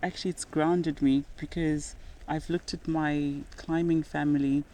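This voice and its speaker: A woman's voice: low-pitched, little pitch movement, measured pace, dark tone, low-quality recording. A woman with a Zimbabwean accent, a podcast guest talking in an earnest, reflective way and laughing now and then as she tells stories. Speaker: guest, nurse and rock climber. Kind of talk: podcast interview conversation.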